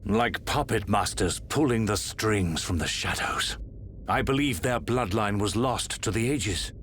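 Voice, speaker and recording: An actor playing an aging emperor in a video game, grave and regal, speaking in a stately, formal manner. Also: male voice, fairly low pitch, unhurried, a bit bright, a little hiss or hum. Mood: grave, suspicious. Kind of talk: dramatic video game cutscene acting.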